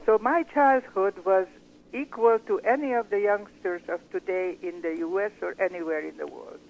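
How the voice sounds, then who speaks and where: An older woman from Hungary, a guest giving her personal testimony slowly, with measured, searching pauses. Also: mid-range voice, creaky, a little mellow, lo-fi sound, slight background noise.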